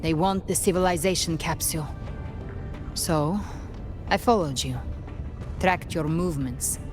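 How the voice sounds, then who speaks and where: An actress playing a cool, guarded avenger in a video game, speaking in a grave, measured, secretive manner. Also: female voice, low voice, music in the background. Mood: cool, intent. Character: cool, guarded female avenger and monster hunter. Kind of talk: scripted video game voice acting.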